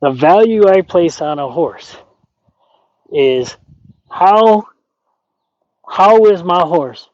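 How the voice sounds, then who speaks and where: A man talking through horse training in a folksy, rambling way, his voice sitting a bit high. The sound is dull and lo-fi, taken outdoors by the horse pen. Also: a little mellow, dry recording. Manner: folksy, rambling, plain-spoken. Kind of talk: explaining horse training while working.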